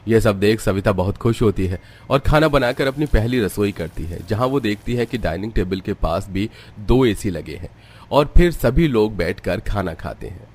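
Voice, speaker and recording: A man's voice: on the low side, lively intonation, normal speed. A man reading scripted narration for a story as its narrator.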